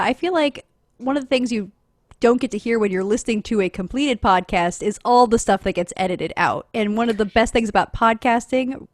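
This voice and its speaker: A woman with a medium-pitched voice speaks as a podcaster on a live panel. She explains in a conversational way, thinking aloud as she goes. The sound is dry, with little echo.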